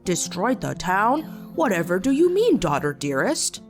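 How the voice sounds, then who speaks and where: Give an oblivious, prim mother as a woman mockingly puts her on. The delivery is exaggerated and sing-song, swinging widely in pitch. It is comic dub parody with music behind. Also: wildly swooping pitch, a little slow. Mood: mock innocence. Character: oblivious, overly proper mother, mockingly imitated.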